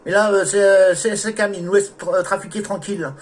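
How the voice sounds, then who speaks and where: A man's voice: sits high, steady tone, fast. A man from France chatting casually as an amateur radio operator, with courteous sign-offs. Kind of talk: casual radio contact chat.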